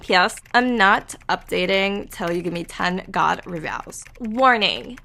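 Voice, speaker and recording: A woman voicing a ditzy, angsty teenage goth girl writing author's notes, in a slow, airy, vapid delivery. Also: medium pitch, vocal fry, bright, forceful tone.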